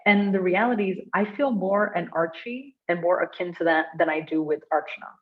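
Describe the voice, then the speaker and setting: A woman from India with an Indian accent, speaking conversationally and earnestly as she answers audience questions, with expressive, laughter-prone delivery. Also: fairly low pitch, brisk. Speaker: guest speaker at a design meetup. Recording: dull, lo-fi online call audio.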